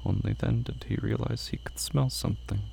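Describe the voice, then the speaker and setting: A narrator reading scripted horror fiction in short, deliberate phrases. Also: mid-range voice, steady tone, gravelly, warm tone. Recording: faint background noise.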